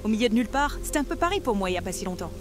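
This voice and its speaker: A woman voicing a kind, adventurous assistant from a video game in an animated comedy, earnest, soothing and a little hesitant. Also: medium pitch, racing, over music, natural voice. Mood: sympathetic. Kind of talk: French animated comedy acting.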